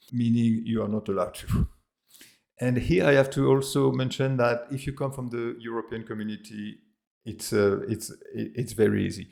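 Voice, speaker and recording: A man's voice: mid-range voice, gravelly, a little mellow. A middle-aged man with a French accent talks casually to camera, hesitant and full of fillers and restarts. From France and Australia. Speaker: expat vlogger.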